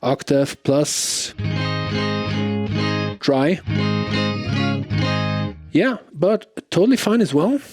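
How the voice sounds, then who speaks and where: A man reviewing gear in a casual, off-the-cuff way, thinking aloud in a halting manner. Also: fairly high pitch, sing-song, over loud music. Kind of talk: casual off-the-cuff pedal demo chat.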